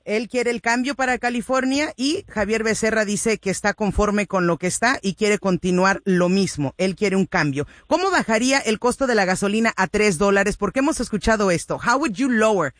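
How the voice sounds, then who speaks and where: A woman's voice: medium pitch, quick pace, few pauses, no echo, clean studio sound. A woman hosting a radio interview, summarizing each answer in Spanish with frequent fillers.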